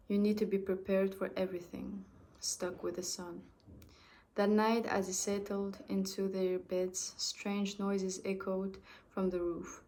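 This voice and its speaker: Woman reading a story, flat and halting. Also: mid-range voice. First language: French. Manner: halting, with restarts and self-corrections.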